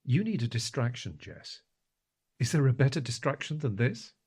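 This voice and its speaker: An actor playing an earnest, guilt-ridden husband in an audio drama, speaking with hushed, halting emotion in a husky voice. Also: male voice, medium pitch, sing-song. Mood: coaxing. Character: earnest, guilt-ridden husband pleading with his injured wife.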